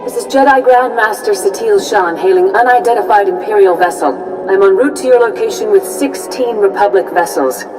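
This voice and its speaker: An actress playing a serene, firm Jedi grandmaster in a video game, calm, formal and authoritative. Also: female voice, medium pitch, brisk, hardly any pauses, recorded from a distance, noisy background, lightly acted. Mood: calm resolve.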